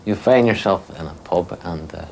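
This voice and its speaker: Young man, very low voice, measured interviewee; light background hiss. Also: creaky, a little mellow. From Northern Ireland. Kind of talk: documentary interview. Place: small bedroom.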